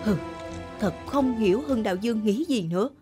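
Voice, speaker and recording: A woman voicing a jealous, snobbish male guard officer in an animated folktale, scornful and grumbling. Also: on the high side, a little fast, over music, natural voice. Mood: scornful, resentful.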